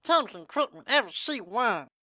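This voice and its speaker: A woman voicing a small, frenzied female monster shopkeeper, squeaky and excitable, speaking in broken third person for a fan audio story. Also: moderate pace, no room sound, studio quality, heavily acted. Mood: frantic, greedy.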